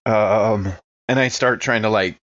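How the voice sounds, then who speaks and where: A man's voice: fairly low pitch, fairly even intonation, measured pace, fairly bright tone. A man casually narrating his character's actions in a tabletop roleplaying game, piecing them together hesitantly. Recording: slightly dull, lo-fi sound.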